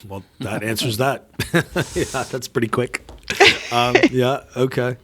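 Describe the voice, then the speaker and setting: A man's voice: mid-range voice, slow, fairly bright tone. A man co-hosting a podcast who asks loose, rambling questions and laughs along easily. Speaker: podcast co-host. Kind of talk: conversational interviewing.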